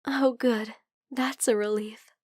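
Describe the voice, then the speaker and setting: A woman playing a gentle, teasing female vampire friend who is unwell, speaking softly and hesitantly in an ASMR roleplay. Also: fairly high pitch, steady tone, slow, no echo, studio quality. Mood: hesitant, confessing. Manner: soft, hesitant, playfully teasing. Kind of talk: soft-spoken ASMR roleplay.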